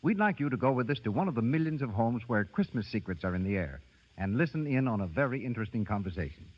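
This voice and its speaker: Lively, husky male radio announcer. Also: medium pitch, quick pace. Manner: polished, formal. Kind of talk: scripted 1930s radio announcing. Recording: muffled 1930s radio broadcast.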